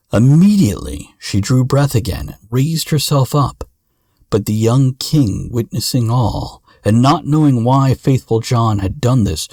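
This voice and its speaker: A man narrating a fairy tale in a measured, dramatic storytelling manner. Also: mid-range voice, darkish tone, dry recording, clean studio sound. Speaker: podcast narrator.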